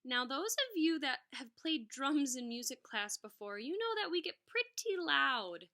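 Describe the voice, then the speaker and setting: A woman reading a picture book aloud as a teacher, adding expressive explanatory asides for young children. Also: high voice, measured pace.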